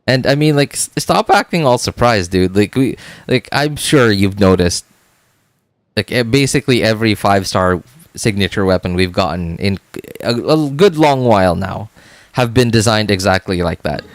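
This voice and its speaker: Low-voiced man streaming casually, dry sound. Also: lively intonation, no echo. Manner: halting, repetitive, informal. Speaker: game streamer. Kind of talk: casual live-stream chat, answering viewers.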